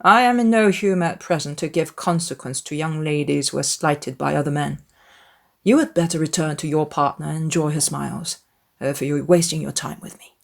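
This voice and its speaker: A woman voicing a proud, aloof gentleman in a dramatized audiobook, speaking in a cold, formal manner. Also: deep voice, a little fast, creaky. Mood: cold, dismissive.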